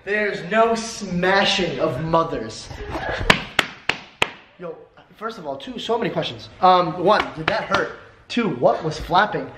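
A young man bantering in a teasing, excitable way in casual conversation. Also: sits high, slow, pauses often. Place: large hard-floored living room. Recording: echoey room, loud background noise.

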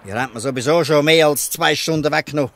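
An actor playing a gruff but good-hearted old fisherman in a Swiss German dialect radio play, scolding and exclaiming. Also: fairly high pitch, fast talker, a bit bright. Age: older.

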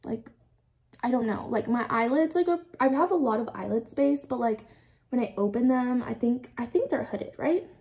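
A young American woman in her twenties chats through her makeup routine in a small room, the camera close in front of her. Her voice sits a little high but sounds soft and mellow. She rambles casually and leans on "like" as she talks. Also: normal speed. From United States. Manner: chatty, rambling, heavy use of "like". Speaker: beauty vlogger. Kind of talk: casual get-ready-with-me chat. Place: small indoor room with a plain backdrop.

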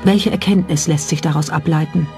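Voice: medium pitch, fairly even intonation, fairly quick, a little mellow. A narrator reading a scripted documentary. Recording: music under the voice. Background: background music.

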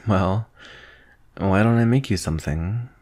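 A man acts out a shy, adoring young male best friend in a boyfriend ASMR roleplay. His voice is low and soft. He speaks slowly and hesitantly, with a nervous, tender manner. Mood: caring, surprised. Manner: hesitant, soft-spoken, breathy sighs and nervous chuckles. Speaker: audio roleplay performer. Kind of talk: boyfriend roleplay ASMR, intimate scripted acting.

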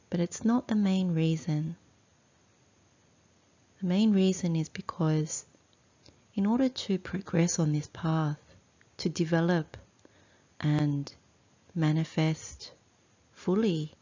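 A woman leads a guided meditation in a low, mellow voice. She speaks very slowly and deliberately, setting out short phrases with long pauses between them, as a teacher instructing students. The recording is slightly dull.

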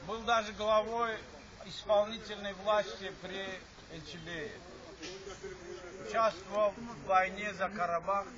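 A man's voice: high voice, steady tone, unhurried. An older man from Azerbaijan giving a rambling, repetitive speech at an alumni reunion in an assembly hall. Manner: rambling, repeats himself, stops to restart. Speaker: alumni speaker. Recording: dull lo-fi recording with chatter from the audience.

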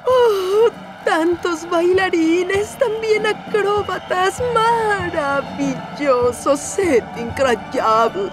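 Vain, swooping cartoon diva railcar. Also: female voice, very high-pitched, expressive, very slow, over music, heavily acted, poor audio. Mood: delighted. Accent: Latin American. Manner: theatrical, snobbish, affected. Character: vain, haughty, fussy female diesel railcar Daisy. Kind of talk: children's cartoon dub.

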